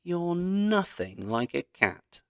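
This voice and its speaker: A man voicing an excitable, determined young girl in a children's storybook, speaking earnestly. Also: high-pitched, slow, smooth, dry recording, studio quality, voice pushed up, full character voice. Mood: frustrated.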